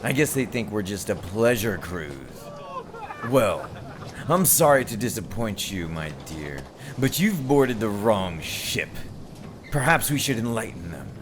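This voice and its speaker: A man playing a ruthless, mocking pirate captain in a theatrical, drawn-out ASMR roleplay performance. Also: on the low side, big pitch swings, background noise. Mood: mocking, boastful. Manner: theatrical, taunting, drawn-out delivery. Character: ruthless male pirate captain, mocking, menacing, mock-gracious. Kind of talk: scripted ASMR roleplay acting.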